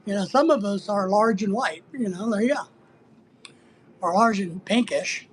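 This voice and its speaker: A livestream host from the United States with a husky voice, chatting casually and bluntly while teasing viewers and rambling through answers to their comments. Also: mid-range voice, moderate pace, a little hiss or hum. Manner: blunt, teasing, sometimes breaking into playful exclamations.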